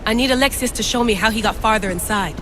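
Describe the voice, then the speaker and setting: A woman's voice: a little high, fast talker, noisy background, lightly acted. An actress playing a determined, dry-witted woman researcher and adventurer in a video game. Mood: focused, hushed. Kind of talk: video game cutscene acting. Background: background music.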